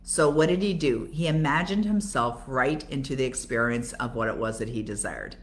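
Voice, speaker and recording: A woman's voice: very deep voice, animated, normal speed, a little hiss or hum. An older woman with a Canadian accent, speaking conversationally as a coach on a live Q&A and answering her own rhetorical questions as she explains. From Toronto, Canada. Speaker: coach and author hosting a live Q&A.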